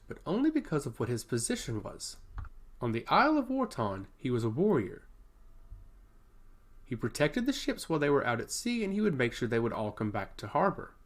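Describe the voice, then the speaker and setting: A man reading scripted fantasy narration in a dramatic storytelling style. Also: mid-range voice.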